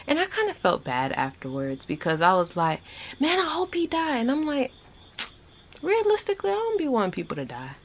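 A woman in her twenties chatting casually to a phone camera on an outdoor porch, animated and rambling. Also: brisk. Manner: animated, chatty, rambling with lively pitch jumps. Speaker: vlogger. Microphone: phone camera at arm's length.

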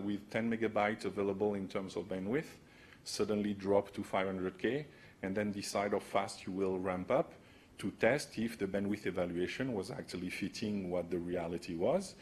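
A man's voice: on the low side, darkish tone, slight background noise. A man in his forties giving a technical conference talk at a podium, explaining casually and often checking in with "right?". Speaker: conference speaker. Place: conference room, large indoor hall. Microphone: podium microphone.

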